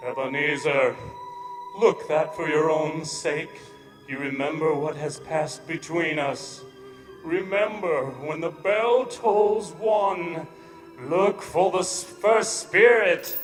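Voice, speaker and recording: A tormented ghost giving solemn warnings in a radio play. The high, declamatory voice moves slowly and mournfully, echoing heavily with music behind it. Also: male voice. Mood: grave warning. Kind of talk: stage radio drama acting. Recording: echoing hall, long reverb, music underneath.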